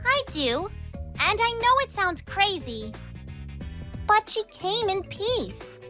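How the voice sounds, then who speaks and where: Sincere young cartoon girl: extremely high, bright voice, excitable, music behind. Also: slow pace, full character voice. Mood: earnest. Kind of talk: cartoon comic dub acting.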